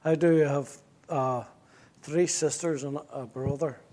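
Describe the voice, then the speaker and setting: Belfast pastor, middle-aged, wry and joking, fairly high voice for a man. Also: fairly even intonation, dark tone, slight background noise. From Northern Ireland. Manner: wry, joking, halting restarts. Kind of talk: interview storytelling.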